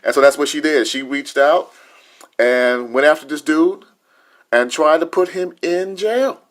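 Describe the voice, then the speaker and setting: American dude on a podcast, kinda high voice, slangy, keeps asking if you get him. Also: male voice, measured pace. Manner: colloquial, seeks agreement. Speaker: podcast co-host. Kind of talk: casual opinionated panel talk.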